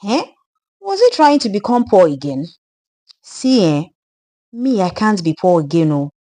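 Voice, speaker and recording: A woman's voice: mid-range voice, very animated, a little slow, dark tone. A woman voicing an arrogant, stingy Nigerian businessman in a folktale, scornful, emphatic and colloquial, with a Nigerian accent. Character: arrogant, stingy, callous businessman, brother-in-law.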